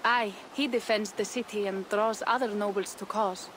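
A woman's voice: mid-range voice, steady tone, normal speed, a bit bright, a little hiss or hum, lightly acted, rough recording. A woman playing a young, thoughtful Viking warrior in a video game, earnest and reflective. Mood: matter-of-fact. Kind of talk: dramatic game cutscene acting.

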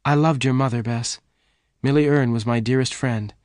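Man voicing an emphatic, high-pitched western woman. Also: fairly even intonation, normal speed, a little mellow, no echo, clean studio sound, close to an everyday voice. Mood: warm. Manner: emphatic, exclaiming, raised pitch. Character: earnest, excitable, warm-hearted western woman. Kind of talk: dramatised audiobook dialogue.

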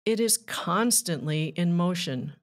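A woman reading a rhyming children's book aloud with measured, deliberate phrasing. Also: fairly low pitch, lively intonation. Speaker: children's author and narrator.

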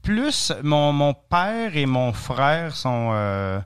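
A man in his thirties from Eastern Ontario with a Franco-Ontarian French accent, a podcast guest telling stories in a chatty, joking way, often pausing with fillers. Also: fairly high pitch, sing-song, clear, resonant tone. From Eastern Ontario, Canada. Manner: chatty, joking storyteller with many hesitations. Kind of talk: casual podcast interview.

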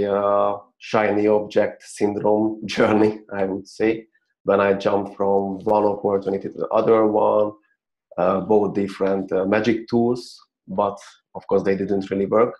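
A man in his thirties from Hungary with a Hungarian accent, telling his story as a guest in an earnest, halting way with many fillers, heard over a video call in a small room. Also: fairly low pitch, monotone, darkish tone. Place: small plain-walled room. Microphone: video call webcam. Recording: dull lo-fi video call audio.

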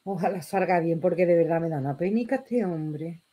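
A middle-aged woman with a Spanish accent from Spain, giving casual reaction commentary in an expressive, exclamatory way. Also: lowish voice, brisk, faint music behind. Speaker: reaction video host. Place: small bedroom indoors.

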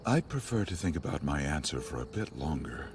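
A man voicing a skull-headed inhuman mage in an anime fandub, formal, measured and earnest, speaking very literally. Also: a bass voice, sing-song. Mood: measured, matter-of-fact. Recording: loud music under the voice.

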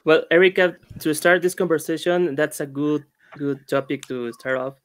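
Smooth-voiced male co-host. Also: a little high, normal speed. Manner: friendly, brief agreeing interjections. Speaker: podcast co-host. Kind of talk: casual video-call interview.

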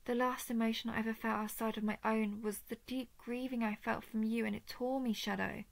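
A woman playing a lovestruck female dragon, possessive yet tender and remorseful, speaking softly and hesitantly with breathy whispers in an intimate roleplay audio. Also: a little high, little pitch movement, fairly quick, no room sound. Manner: soft, hesitant, often dropping into breathy whispers.

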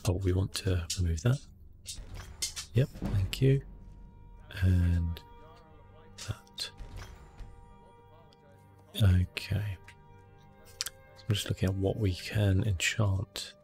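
A man speaks in a low, hushed murmur with a gravelly creak in it. He gives relaxed ASMR gameplay commentary, often slipping toward a whisper, with faint music underneath. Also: slow pace, long pauses. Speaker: gaming video host.

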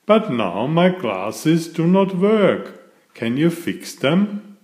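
Guy doing young boy pony in a kids story, eager, up and down pitch, echoey. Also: sing-song, takes it slowly, a little mellow. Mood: polite, worried.

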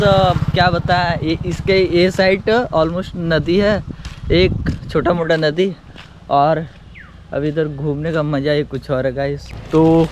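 A young man filming himself on a phone held at arm's length in dry woodland beside a road. He keeps talking to his viewers in a loose, chatty way. His voice sits a little high, and the outdoor ambience comes through clearly behind him. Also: background noise. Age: young adult. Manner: chatty, repeats "guys" often, addresses viewers. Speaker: vlogger. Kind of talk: casual walking vlog. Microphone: phone camera at arm's length, selfie framing.

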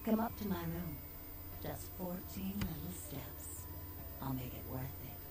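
A sultry vampire club owner from a video game, speaks very low with a breathy, coy purr. She is seductive and flirtatious, over clearly noisy ambience. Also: expressive. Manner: slow, coy, flirtatious purring. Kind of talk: video game character acting.

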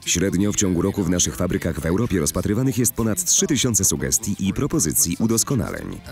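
A man with a deep, low voice narrates a corporate presentation. His delivery is formal and measured, with lively rises and falls in pitch. Soft music plays behind his studio-recorded voice. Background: faint music.

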